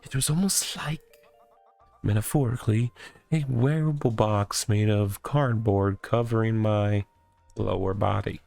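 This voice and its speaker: A man voicing a rambling, oddly proud corporate mascot stuck in a cardboard box, halting and earnest, in a comedic visual novel read-aloud. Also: on the low side, fairly even intonation, vocal fry, darkish tone. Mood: wistful, awed. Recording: music behind the voice.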